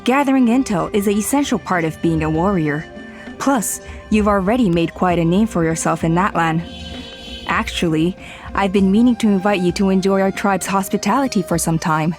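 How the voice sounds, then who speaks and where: Woman playing a polite formal tribe elder in a game, calm middle voice, light music behind. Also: mid-range voice, lightly acted. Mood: earnest. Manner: formal, measured, apologetic.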